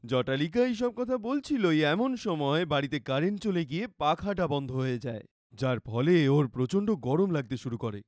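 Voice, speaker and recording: A man's voice: a little high, lively intonation, a little fast, a little mellow, no room sound, studio quality. A man narrating a scripted cartoon fairy tale as the storyteller.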